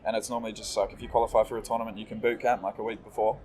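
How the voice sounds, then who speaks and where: A young man from New Zealand with a New Zealand accent, a player answering interview questions in a relaxed, agreeable way. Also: on the low side, a little hiss or hum. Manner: laid-back, quick, agreeable. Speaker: esports player. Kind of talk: casual interview.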